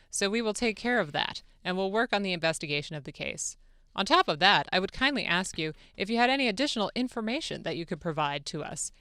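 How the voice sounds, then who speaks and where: Woman playing a deferential president, bright and clear. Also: fairly low pitch, no echo, clean studio sound. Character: an eager, deferential male foreign president in dramatic reading. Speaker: radio show producer. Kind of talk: dramatic reading of a phone-call transcript. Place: radio studio. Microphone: close studio microphone.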